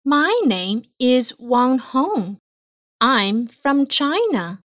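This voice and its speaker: A woman reading an English listening test in a slow, carefully spaced delivery. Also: sits high, very animated, a bit bright, no echo, studio quality. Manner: clear, carefully spaced words. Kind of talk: slow, deliberate exam reading.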